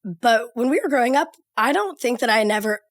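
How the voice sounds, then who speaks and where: Hoarse, raspy Southern woman from Georgia, chatty podcast guest, close dry sound. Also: on the high side, fairly quick, dry recording. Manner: lively, chatty, laughs easily. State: hoarse from a cold. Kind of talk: casual chat.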